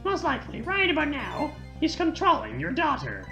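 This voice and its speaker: A woman's voice: high voice, big pitch swings, normal speed, gravelly, sharp tone, faint music behind, pitched above the performer's own voice, full character voice. A woman voicing a smug, sinister male villain from a video game, with an oily, mocking sing-song delivery. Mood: gloating.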